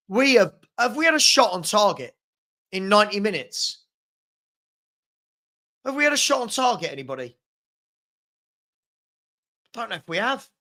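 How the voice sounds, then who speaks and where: High-pitched, hoarse British male fan host. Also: a bit bright. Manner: exasperated, emphatic, repeats phrases for effect. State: hoarse, losing his voice. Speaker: host of football watchalong stream. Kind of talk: live fan commentary, reading viewer comments.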